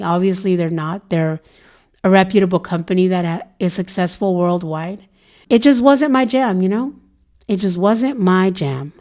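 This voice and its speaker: A hoarse woman vlogging in a casual, rambling chat to her viewers, full of "you know" fillers. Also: medium pitch, darkish tone.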